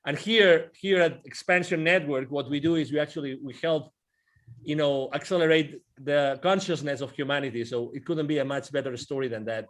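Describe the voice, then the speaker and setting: A man's voice: a little high, a little fast, fairly bright tone. A man in his forties hosting an interview over a video call, eager and full of restarts. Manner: enthusiastic, talks with his hands, many restarts. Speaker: host. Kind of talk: casual interview. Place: indoors, virtual backdrop. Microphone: wired earphone mic on a video call.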